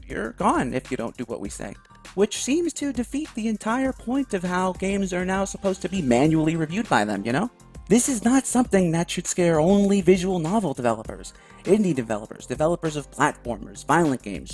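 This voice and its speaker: Animated man giving opinion commentary. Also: a little high. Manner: emphatic, wry, occasionally sarcastic. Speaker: video commentator. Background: background music.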